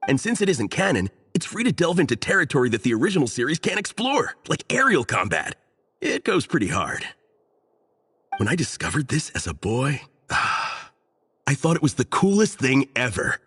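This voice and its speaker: A man's voice: mid-range voice, wildly swooping pitch, normal speed, a bit bright, husky, no echo, heavily acted. A man voicing an earnest, opinionated young book nerd in a video game, fussy and enthusiastic as he explains. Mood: nostalgic enthusiasm. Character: earnest, opinionated, nerdy young man, devoted light-novel fan.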